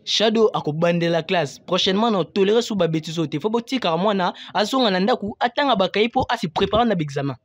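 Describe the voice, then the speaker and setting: A man with a Congolese accent playing an exasperated primary school teacher in an animated comedy. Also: high-pitched, fairly quick, few pauses, dry recording.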